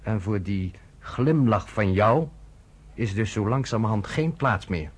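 A blunt American reporter in a radio drama. His voice is slightly husky and his delivery is sardonic and cutting, as if he is lecturing someone he does not respect. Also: fairly low pitch, lively intonation, a little slow. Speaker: journalist.

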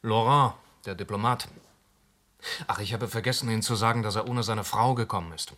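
An actor playing a sharp, sarcastic police inspector who presses hard in a radio drama. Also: male voice, on the low side, fairly quick. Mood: pressing. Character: aggressive, blunt male police inspector. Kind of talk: German radio drama acting.

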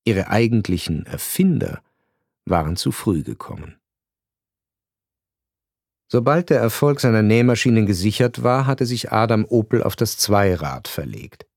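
Male narrator for a literary radio drama, low-set voice, dry studio read, measured and ironic. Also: vocal fry, a little mellow, no echo. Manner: measured, ironic storytelling with deliberate pauses.

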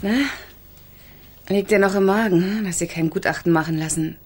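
An actress playing a familiar, teasing woman visitor with a husky voice and a casual, wry manner in a German radio play. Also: fairly low pitch, fairly even intonation, normal speed, close to an everyday voice. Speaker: actress in radio crime drama.

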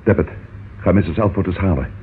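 An actor playing a sceptical, commanding police inspector in a radio play, with a wry, authoritative manner. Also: male voice, deep voice, fast talker, natural voice. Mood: businesslike. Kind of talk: old radio play acting. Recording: noisy, phone-like old radio recording.

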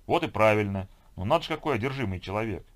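A man voicing a bossy, lively young woman who talks in an insistent, chatty way. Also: on the low side, quick pace, lightly acted. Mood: cheerful, sympathetic.

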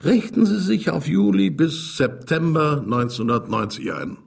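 An actor playing a self-assured East German lieutenant colonel in a radio drama, jovial, authoritative and condescending. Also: male voice, fairly high pitch, sing-song, moderate pace, creaky, breathy edge, full character voice. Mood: pompously confident.

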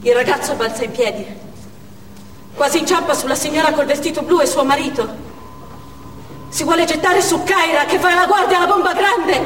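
Stern chechen militant woman telling the siege, high voice, far off in a noisy echoey theatre. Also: normal speed, long pauses, a bit bright. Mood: tense. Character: stern Chechen female hostage-taker narrating the siege. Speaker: stage actress. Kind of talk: dramatic stage monologue in Italian theatre.

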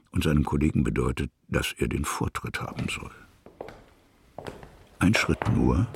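A man with a gravelly, husky voice reading literary narration for a radio play. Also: a bass voice, a little hiss or hum.

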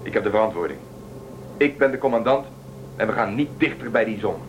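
A man's voice: fairly low pitch, lively intonation, brisk, muffled recording, slight background noise. A man playing a stubborn, cautious ship commander in a radio play, firm and dismissive.

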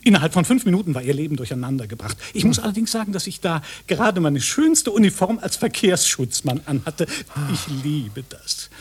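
A self-important, lecherous phoney policeman in a radio drama. His voice is markedly husky and leaps about in pitch. He is pushy and theatrical, with a pedant's love of his own words. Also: full character voice. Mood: boastful. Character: vain, pushy fake policeman, lecherous self-styled seducer. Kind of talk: German radio play acting.

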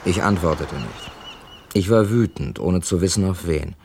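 A man's voice: low voice, moderate pace, darkish tone, husky. An actor playing an irritable, defensive younger man who is both suspect and narrator in a radio crime drama. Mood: tense narration.

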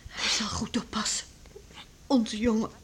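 A woman's voice: on the high side, level tone, a little slow, in a whisper, close to an everyday voice. An actress playing an anxious, tender middle-aged mother, fretful and pleading, in a radio drama. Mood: tearful, tender. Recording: hissy old radio play recording.

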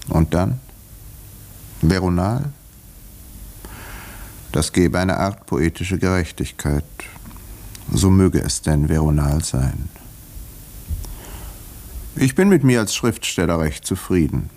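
An actor playing an urbane, cynical doctor and self-satisfied murderer, speaking with smug, reflective irony in a 1950s German radio drama. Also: male voice, low-pitched, darkish tone, full character voice. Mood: weary resignation.

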